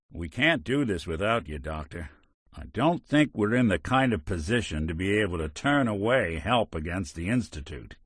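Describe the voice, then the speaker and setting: An actor voicing a weary synth detective in a video game, a gruff middle-aged man with a dry, wisecracking manner. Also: fairly low pitch, no echo. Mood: stern. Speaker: companion character. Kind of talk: game character barks.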